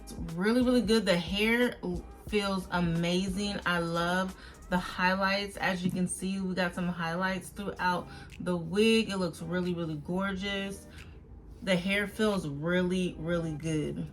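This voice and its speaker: A woman's voice: fairly low pitch, steady tone, measured pace, faint music behind. A woman hosting a beauty vlog, talking casually and directly to viewers in an informal, chatty review style. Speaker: beauty vlogger and host.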